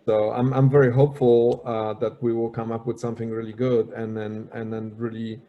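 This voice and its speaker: A man with a Polish accent, speaking as a panelist in a casual online discussion, halting and often repeating words. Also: mid-range voice, flat delivery, muffled recording. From Poland.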